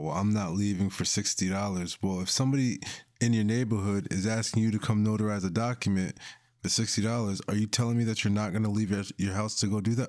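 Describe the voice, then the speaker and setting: Low-voiced male podcast host, dry close sound. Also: a little mellow, no echo. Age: young adult to middle-aged. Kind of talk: casual hosted discussion.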